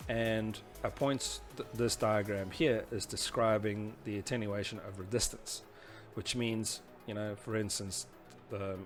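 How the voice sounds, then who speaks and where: A man with a fairly deep voice talks through an instructional presentation. He explains in an informal, slightly halting lecture style. Faint music sits behind his voice. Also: fairly even intonation. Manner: hesitant, explanatory, often saying "you know". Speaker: instructor presenting a tutorial series.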